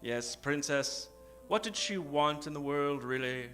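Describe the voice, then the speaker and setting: A man hosting as a theatrical mock old-time radio announcer, speaking dramatically with suspenseful pauses and sudden emphasis. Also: a little high, animated, pushed and bright. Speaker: announcer and host of an improvised radio show. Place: theater stage. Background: live keyboard music.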